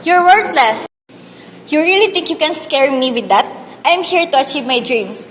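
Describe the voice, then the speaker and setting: A woman acts as a bullied, grieving teenage schoolgirl with a high voice and a Filipino accent. She speaks with earnest melodrama, as in an amateur radio play. The sound is reverberant with some hiss. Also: animated, moderate pace, a bit bright. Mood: angry, defiant. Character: orphaned teenage scholarship girl, grieving, bullied, defiant. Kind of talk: amateur radio drama, first-person emotional narration. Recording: reverberant hall, audible background hiss.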